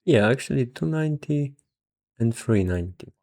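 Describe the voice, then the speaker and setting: A man's voice: medium pitch, lively intonation, moderate pace, mellow, dry recording, clean studio sound. A man who sounds a little tired, casually thinking aloud as an investor working through valuations, hesitant and half muttering to himself. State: somewhat tired. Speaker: investor explaining stock valuation.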